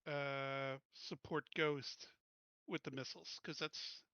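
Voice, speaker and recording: A man's voice: a little high, steady tone, slow, pushed and bright, smooth, no room sound, lo-fi sound. A man playing a tabletop game, chatting casually and bluntly with the group.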